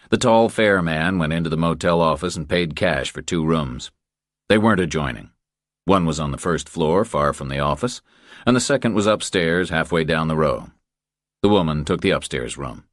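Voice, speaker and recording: A man's voice: deep voice, no echo, clean studio sound. A man reading a crime thriller aloud as scripted audiobook narration.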